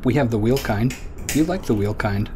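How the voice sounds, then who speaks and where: A man's voice: medium pitch, lively intonation, moderate pace, warm tone, natural voice. Actor as earnest literal-minded crewman, matter-of-fact, mid voice, faint hiss. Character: literal-minded man crew member, earnest, flat.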